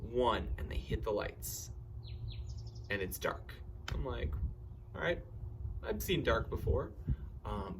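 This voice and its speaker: A man telling personal stories in an animated, theatrical way, acting out bits of dialogue with excitement. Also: medium pitch, slight background noise. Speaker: camp storyteller and science educator.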